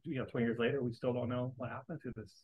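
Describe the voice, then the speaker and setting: A man in a book club audience giving the author an earnest, rambling compliment, halting and restarting as he speaks. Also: medium pitch, little pitch movement, slightly rough, phone-quality sound. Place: library meeting room.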